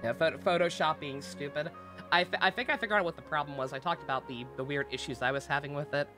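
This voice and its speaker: A woman's voice: low-pitched, fast talker. A woman livestreaming a game, chatting casually to viewers in a rambling, joking way with repeated phrases. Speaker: game streamer. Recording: game music behind.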